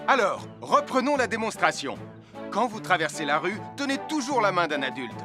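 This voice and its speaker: An actor playing a friendly firefighter hero in a cartoon, explaining safety rules in a cheerful, instructive way. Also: male voice, high-pitched, sing-song, normal speed. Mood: upbeat. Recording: music underneath.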